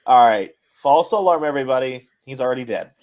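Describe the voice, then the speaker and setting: A man's voice: medium pitch, animated, fairly quick, darkish tone. A man co-hosting a casual wrestling podcast, talking in a blunt, exasperated way with plenty of swearing. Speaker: podcast co-host.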